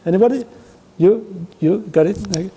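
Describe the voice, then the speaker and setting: High-voiced, mellow Uzbek-accented man presenting. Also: vocal fry, breathy edge. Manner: halting, choppy non-native English. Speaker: conference speaker running an audience networking game. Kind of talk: informal interactive presentation to an audience.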